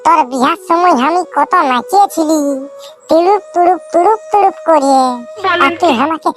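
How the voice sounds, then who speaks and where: A squeaky, very high-pitched cheeky scrounger. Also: male voice, moderate pace, fairly bright tone. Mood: wheedling, cheeky. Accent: rural Purulia, West Bengal. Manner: pleading, nagging, cheeky. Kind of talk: comedy cartoon dialogue. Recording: music behind the voice.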